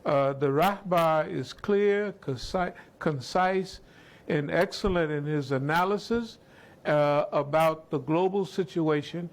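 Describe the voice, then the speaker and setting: A man with a fairly high voice speaks as an imam on a discussion panel. He talks slowly and deliberately, weighing each phrase, with steady pauses between thoughts. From United States. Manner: slow, measured, deliberate. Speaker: imam answering questions.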